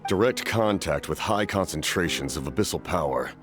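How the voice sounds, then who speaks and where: A commanding dark captain from a fantasy game. His extremely deep voice is grave and measured, with clinical formality, over music. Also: lively intonation. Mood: grave, composed. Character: stern, stoic, commanding dark captain of great age.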